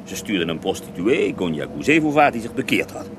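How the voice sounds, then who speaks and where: A man's voice: fairly low pitch, big pitch swings, fairly quick. An actor playing an earthy Russian holy man who tells his life story with theatrical relish in a radio drama. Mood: dramatic recounting. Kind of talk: radio drama acting in interview form. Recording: noisy older radio drama recording.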